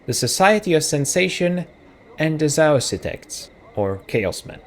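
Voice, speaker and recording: A man's voice: on the high side, sing-song, unhurried, slight background noise. Czech-accented man musing over a game. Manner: musing, thinks aloud with self-corrections. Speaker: let's play host. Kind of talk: casual gameplay commentary, reading game text aloud.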